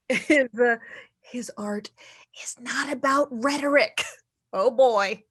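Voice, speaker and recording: A woman's voice: on the high side, slightly rough. An actress playing a dramatic, teasing, fretful ghost of a mother in a theatrical play reading, drawing out her words. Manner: declaiming, teasing, drawn-out.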